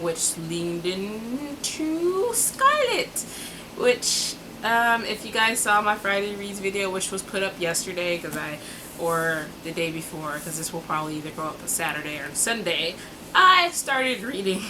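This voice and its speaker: A woman giving a casual, chatty book review in informal, slangy phrasing, with exasperated asides and drawn-out emphasis. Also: on the low side, sing-song. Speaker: book vlogger. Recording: noticeable background noise.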